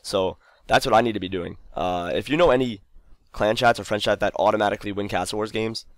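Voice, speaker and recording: Deep, gravelly young man rambling over gameplay. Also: fairly quick, no room sound. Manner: rambling, loose, lots of fillers and restarts. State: tired, losing his voice. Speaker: gaming channel host. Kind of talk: casual gameplay commentary.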